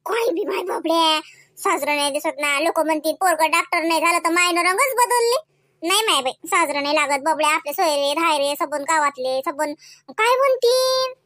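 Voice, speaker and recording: A bashful, fussing cartoon village mother, extremely high-pitched and swinging widely in tone. She has a Varhadi Marathi accent and scolds coyly, full of exclamations. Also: lively intonation, moderate pace, bright, forceful tone, no echo, clean studio sound, heavily acted. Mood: reluctant, embarrassed. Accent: Varhadi Marathi from Vidarbha. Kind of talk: comedy cartoon dialogue.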